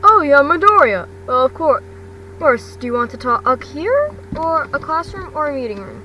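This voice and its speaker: A woman's voice: high-pitched, sing-song, normal speed, bright, forceful tone, voice pushed up, heavily acted. A woman voicing a big, kindly older male hero mentor in a fan fiction reading, hearty and reassuring. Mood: hearty, welcoming. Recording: distant mic, clearly noisy background.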